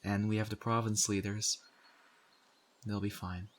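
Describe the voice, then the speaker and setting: A stern survivor of the undead apocalypse, acted by a man, with a fairly low male voice. He talks straight at the listener in an earnest, reproving way. His pauses are deliberate and pointed, as in an intimate audio roleplay drama, and he sounds exasperated yet principled. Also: flat delivery. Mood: curt, resigned.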